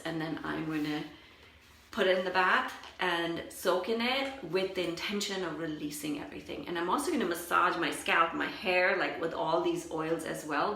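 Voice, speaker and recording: A woman's voice: on the low side, vocal fry, fairly bright tone. A woman, a spiritual coach, chatting and reflecting on a livestream as she answers her viewers. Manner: chatty and reflective, often says "like". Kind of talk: casual livestream talk to viewers. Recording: echoey room.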